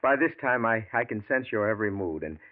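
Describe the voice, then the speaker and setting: A man's voice: on the low side, animated, brisk, natural voice. A man playing an earnest young biographer. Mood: curious. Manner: earnest, probing questions. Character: earnest adult male biographer, curious, loyal. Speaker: biographer and narrator. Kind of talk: 1940s radio acting. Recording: tinny lo-fi old radio broadcast.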